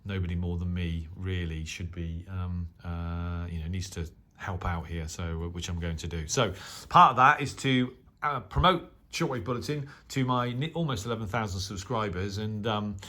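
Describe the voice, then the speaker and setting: A man hosting a hobby channel, talking casually and unscripted in a halting, conversational way with many filled pauses. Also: deep voice, steady tone, bright, forceful tone. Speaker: radio hobby channel host. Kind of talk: casual unscripted talk-through of a newsletter.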